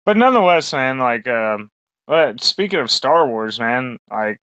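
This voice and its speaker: A man's voice: mid-range voice, very animated, measured pace, fairly bright tone, smooth, no room sound. A man co-hosting a podcast, rambling through a casual, crude chat full of fillers and swearing. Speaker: podcast co-host. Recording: dull lo-fi sound.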